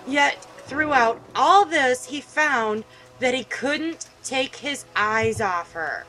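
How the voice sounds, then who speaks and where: A woman's voice: sits high, expressive, slow, bright, forceful tone, faint music behind, low-quality recording. A woman hosting a gaming video, reading a visual novel aloud and commenting with emotional, indignant feeling.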